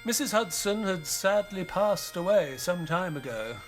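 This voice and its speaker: An actor playing an elderly retired doctor and author in an audio drama, narrating in a measured, solemn, wistful way. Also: male voice, high-pitched, animated, faint music behind. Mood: reflective, solemn. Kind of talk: dramatic first-person scripted narration.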